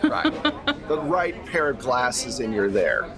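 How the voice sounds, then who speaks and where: A man's voice: mid-range voice, very animated, slow, a crowd in the background. A man acting as game master, narrating a tabletop role-playing game in an informal, conversational way.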